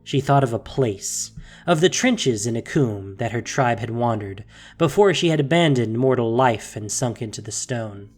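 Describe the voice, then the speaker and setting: A male narrator delivers scripted dramatic fiction in a mid-range voice. He is solemn and deliberate, pausing for weight, with music quietly underneath. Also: medium pitch, lively intonation.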